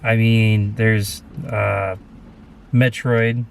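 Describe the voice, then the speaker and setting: A man's voice: on the low side, little pitch movement, extremely slow, creaky, slight background noise. A man in a casual film review chat, agreeable and sometimes searching for his words.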